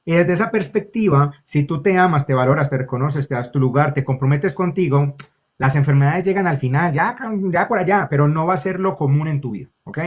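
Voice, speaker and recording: A man's voice: a little high, brisk. A man with a Colombian accent, a spiritual guide explaining ideas in conversation and often checking in with "ok?" and calling the listener lovely. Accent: Colombian Spanish. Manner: affectionate, keeps checking in with "ok?". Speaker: spiritual guide, interview guest.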